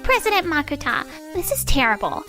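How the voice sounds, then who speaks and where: A woman's voice: high-pitched, very animated, a little fast, pushed and bright, faint music behind, full character voice. A woman playing an urgent company assistant in a manga dub, with dramatic delivery. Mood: alarmed.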